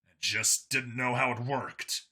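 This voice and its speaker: A man voicing a gruff, weary, irritable human cop in a science fiction audiobook, grumbling and blunt. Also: medium pitch, steady tone, a little slow, bright, forceful tone, full character voice.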